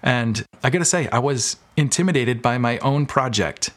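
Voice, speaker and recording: A man's voice: mid-range voice, normal speed. A man speaking as an interview guest, chatting informally and candidly with rambling explanations. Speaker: podcast guest, filmmaker and audio drama creator. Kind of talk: casual interview chat.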